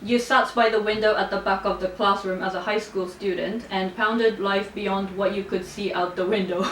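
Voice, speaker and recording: A young woman from Japan, native in Japanese, talks casually as a vlogger answering her viewers. Her manner is playful and a little self-deprecating, at a medium pitch. She sounds roomy and set back from the microphone, with faint noise behind her. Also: steady tone, moderate pace. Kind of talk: casual chat to camera, answering viewer comments. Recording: roomy, distant mic, faint background noise.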